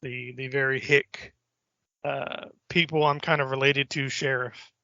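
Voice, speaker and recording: A man from Richmond with a Southern accent, co-hosting a podcast in casual, animated chat. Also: medium pitch, unhurried, creaky, dry recording, lo-fi sound. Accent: Southern US, Virginia. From Richmond, Virginia. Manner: animated, laughs often, self-deprecating. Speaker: podcast co-host.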